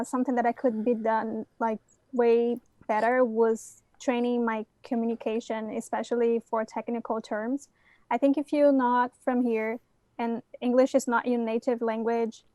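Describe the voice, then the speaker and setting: Brazilian-accented woman, fairly high, flat tone. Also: no room sound. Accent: Brazilian Portuguese. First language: Portuguese. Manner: hesitant, many fillers and restarts. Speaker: guest, bootcamp graduate. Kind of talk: casual interview answer.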